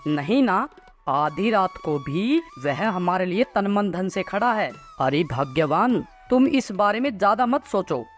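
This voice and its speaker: A man playing a trusting husband in a moral story, persuasive and quick to brush worries aside. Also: high-pitched. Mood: placating. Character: trusting, reassuring husband and small-time property dealer.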